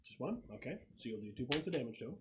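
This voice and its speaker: A man's voice: medium pitch, sing-song, very fast, dull audio. A man acting as game master in a casual tabletop session, thinking aloud through rules and narrating the action.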